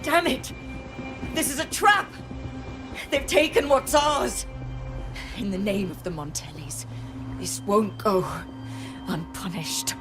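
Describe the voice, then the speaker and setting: Vengeful gang fighter, game character, slow dramatic delivery, clear music behind. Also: female voice, mid-range voice, expressive. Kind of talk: video game voice acting.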